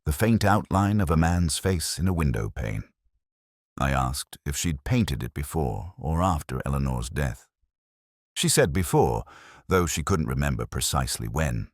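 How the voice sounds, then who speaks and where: A man voicing a wry, literary middle-aged male detective, narrating a mystery in a measured, reflective first person. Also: very deep voice, no echo, studio quality. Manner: measured, reflective, quietly observant.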